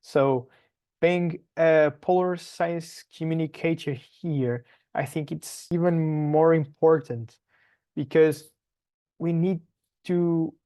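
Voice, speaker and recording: A young man from Portugal with a Portuguese accent, giving conversational interview answers in a halting way, searching for words and repeating phrases. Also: on the high side, steady tone, warm tone. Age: young adult. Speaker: podcast guest, polar science PhD student.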